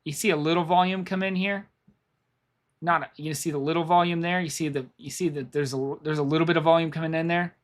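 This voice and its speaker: A man with a slightly raised pitch talks viewers through trading charts on a live stream. His voice is going hoarse, and he rambles, repeats phrases and thinks out loud as he clicks around. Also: steady tone, fairly quick. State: losing his voice. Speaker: stock market streamer.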